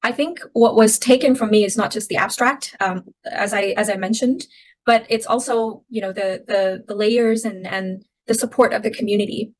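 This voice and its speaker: A young woman from Myanmar who grew up in Thailand, talking through her own story as a podcast guest. She is reflective and circles back on her phrases. Her voice sits at a middling pitch. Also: fairly even intonation, normal speed, low-quality recording. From Myanmar (Rohingya from Arakan), raised in Thailand. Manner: reflective, many restarts and "you know". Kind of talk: personal storytelling in an interview.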